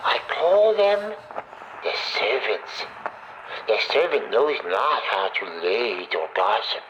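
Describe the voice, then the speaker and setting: An actor playing a pompous, falsely gracious zealot inventor in a video game, preaching grandly to noble guests in archaic thee-and-thy speech. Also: male voice, a little high, heavily acted. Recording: dull, noisy, radio-like playback of a recorded message.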